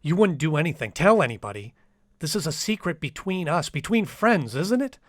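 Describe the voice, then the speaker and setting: A man's voice: fairly high pitch, sing-song, normal speed, dry recording, studio quality. A man playing an anxious, sensitive young man in a radio drama, speaking in an earnest, faltering, dramatic way. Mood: nervous, pleading. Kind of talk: old-time radio drama acting with diary narration.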